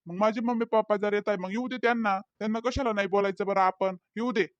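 A man voicing a young husband in a comedy cartoon, talkative and sly, with hesitant pauses. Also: high voice, fairly even intonation, clear, resonant tone, no echo, dull audio, lightly acted. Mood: wheedling. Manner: talkative, wheedling, hesitant fillers.

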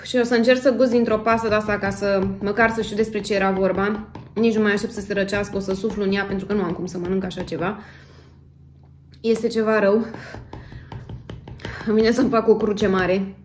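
A woman's voice: medium pitch, fairly even intonation, long pauses, slight background noise. A woman in her thirties from Romania, chatting casually to camera in a chatty, self-dramatizing way, as a vlogger at home. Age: 30s. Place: home kitchen, indoors.

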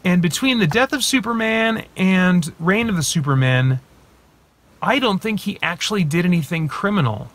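A man in his thirties talking casually to a phone camera from inside his parked car, thinking aloud with many pauses and restarts. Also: sits high, animated, a bit bright. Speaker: movie reviewer and channel host. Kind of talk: casual spoiler talk to camera. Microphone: phone camera at arm's length.

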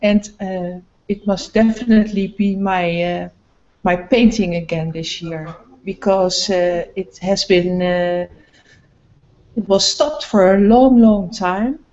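A middle-aged woman painter speaking slowly and hesitantly about her paintings, with many pauses, on a video call. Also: medium pitch, steady tone, mellow. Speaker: painter guest. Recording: muffled video call audio.

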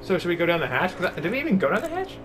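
A man's voice: high voice, huge pitch swings, very fast. Goofy man gaming over noisy background. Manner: jokey silly slang, mild mock insults. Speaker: gaming channel host, player. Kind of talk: casual playthrough chat. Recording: noisy, game sound behind voice. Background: horror video game ambience.

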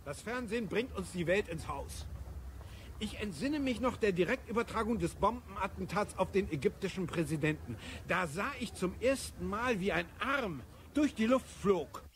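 A woman's voice: mid-range voice, expressive, normal speed, slight background noise, natural voice. An actress playing an opinionated woman in a radio drama, speaking in emphatic statements. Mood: self-satisfied. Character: opinionated woman marvelling and grumbling about modern times.

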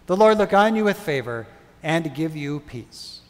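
A middle-aged man leading prayers and a blessing as pastor, reading in a measured, solemn way in a large church. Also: on the high side, expressive. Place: large brick church sanctuary. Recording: long church reverb.

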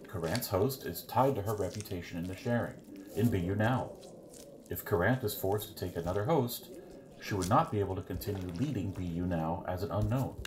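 A man's voice: sits low, fairly quick, close to an everyday voice. A man playing a formal, obsequious henchman reporting schemes to his master in an audio drama. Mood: deferential, scheming. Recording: noisy covert room recording.

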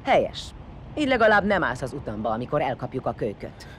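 An actor in an audio drama playing a vengeful, bloodthirsty warrior leader who sneers, swears and barks orders. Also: male voice, mid-range voice, big pitch swings, a bit bright, a little hiss or hum. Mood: scornful. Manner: sneering, foul-mouthed, barking orders.

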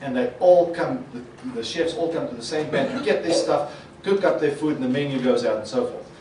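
A man's voice: mid-range voice, a little fast, slight background noise. Man lecturing in an echoey hall. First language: Afrikaans. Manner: animated, gestures a lot, acts out quoted reactions. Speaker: conference presenter. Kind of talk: conference talk. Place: conference hall with projector screen. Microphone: podium mic. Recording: echoey hall, mic a bit far.

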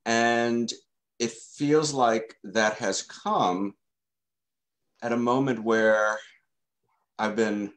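Slow-talking man who stutters. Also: mid-range voice, fairly even intonation, fairly bright tone. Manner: stutters, with repeated sounds and fillers. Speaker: guest, journalist. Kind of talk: video call interview. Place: home room.

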